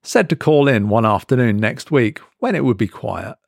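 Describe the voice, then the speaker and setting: Guy reading a spooky story, deep creaky voice, really dry sound, slow deliberate dramatic pauses. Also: expressive, dry recording. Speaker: storyteller reading horror fiction. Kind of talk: scripted dramatic narration.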